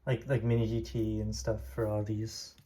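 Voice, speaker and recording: Young man, low voice, from Taiwan, native Hokkien speaker, laid-back rambling, filler-heavy home vlog. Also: completely flat delivery, moderate pace, warm tone. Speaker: diecast collector showing his room. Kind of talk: casual room tour vlog.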